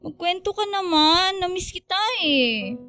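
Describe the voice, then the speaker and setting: A high, bright young woman as the protective best friend, teasing and gossipy. It is radio drama dialogue with faint music underneath. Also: lively intonation, a little slow, full character voice.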